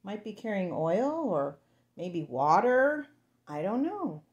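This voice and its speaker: A woman's voice: mid-range voice, sing-song, slow, a little mellow, muffled recording. A woman reading a picture book aloud to small children, sing-song and playful, speaking to them directly. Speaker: children's storyteller.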